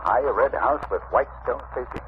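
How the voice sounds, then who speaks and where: An actor playing a brisk, imperious gentleman detective who repeats his phrases, in theatrical vintage radio drama style. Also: medium pitch, natural voice. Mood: keen, excited. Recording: muffled, very noisy old radio recording.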